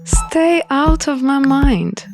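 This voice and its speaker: A woman's voice: high-pitched, animated, drawn-out words, vocal fry, music in the background, pitched above the performer's own voice, heavily acted. A woman voicing a defiant, sardonic young runaway royal in a visual novel, sarcastic and challenging. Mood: wry.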